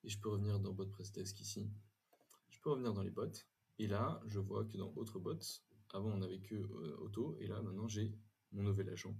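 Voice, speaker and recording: Man, low pitch, rough-edged husky tone, informal tutorial narration, hesitant phrasing, frequent self-corrections. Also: fairly even intonation, brisk, a little mellow. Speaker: software tutorial presenter. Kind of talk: informal screen-share software demo and review.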